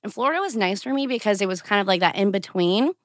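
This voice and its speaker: A woman's voice: medium pitch, lively intonation, fast talker, no echo, clean studio sound. Chatty young woman from Brazil. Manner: chatty, lots of "like", laughs often. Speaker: guest. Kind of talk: casual podcast chat.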